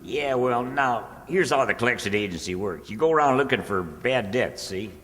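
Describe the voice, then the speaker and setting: A man's voice: medium pitch, animated, normal speed, a bit bright, natural voice. An actor playing a self-important, scheming country businessman, explaining things insistently in an old-time radio comedy. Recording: reverberant hall stage performance.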